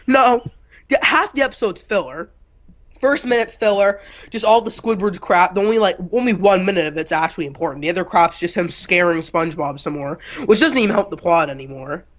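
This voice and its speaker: A man's voice: high-pitched, a little fast, a bit bright. A young man co-hosting a casual cartoon review, blunt and dismissive, sniffly from a cold. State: getting over a cold, sniffly. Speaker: co-host reviewer.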